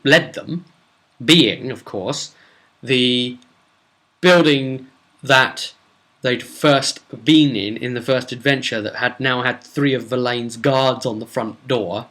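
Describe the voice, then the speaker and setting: A man speaking as a game master, casually retelling a role-play session with emphatic, drawn-out words for suspense. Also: medium pitch, fairly bright tone. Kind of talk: casual spoken storytelling recap.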